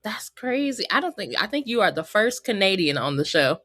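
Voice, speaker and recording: A woman's voice: mid-range voice, sing-song, a little fast, a bit bright, no echo, studio quality. A woman hosting a podcast interview, chatty and upbeat, laughing easily as she talks with her guest. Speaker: podcast host. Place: Bay Area.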